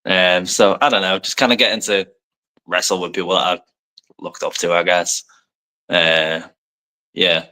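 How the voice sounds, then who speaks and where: A young man from Liverpool with a low voice that still has a bright, forward edge. His accent is a soft English one with faint American touches. He talks in a loose, rambling way, heavy on fillers and modest asides. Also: flat delivery, unhurried, pauses often, rough recording. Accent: soft English, light Americanisms. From Liverpool, England. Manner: rambling, many fillers, self-deprecating. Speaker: professional wrestler, guest. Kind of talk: casual podcast interview.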